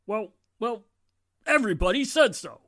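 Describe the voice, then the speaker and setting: An actress playing a blustering, indignant small-town mob spokesperson in a 1940s radio drama, with an accusing manner. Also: female voice, a little high, sing-song, moderate pace, no echo, studio quality. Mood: stubborn, indignant.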